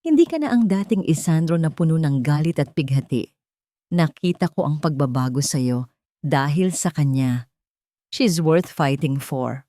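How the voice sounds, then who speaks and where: A woman's voice: sits low, expressive, a little slow, warm tone, no echo, clean studio sound. A woman storyteller voicing an elderly grandmother who earnestly encourages her grandson in an audio love story. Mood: earnest, encouraging.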